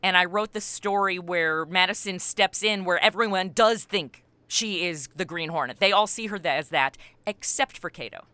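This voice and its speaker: Woman, interview guest, bright voice, dry recording, animated storyteller, conversational. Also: on the low side, moderate pace, few pauses, clear, resonant tone, no echo. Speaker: podcast creator and writer, interview guest.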